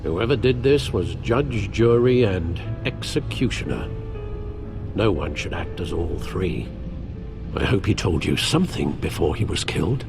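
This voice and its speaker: A loyal older butler with a fairly low voice and a dry, wry manner. He speaks in measured, composed lines. A loud game score runs underneath. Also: animated, gravelly, full character voice. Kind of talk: video game voice acting. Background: music.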